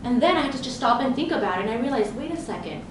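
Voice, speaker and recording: A woman speaks to a live audience as a leadership coach, telling stories and voicing her own thoughts with energy. Her voice comes from a distance and rings through a very echoey room with steady noise in the background. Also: fairly high pitch, racing. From grew up in Southern California. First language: Romanian. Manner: lively storytelling with quoted inner thoughts. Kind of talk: live conference presentation.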